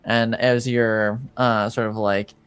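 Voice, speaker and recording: A man improvising narration as game master of a tabletop game, with halting, hesitant phrasing. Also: medium pitch, level tone, a bit bright, smooth, no echo.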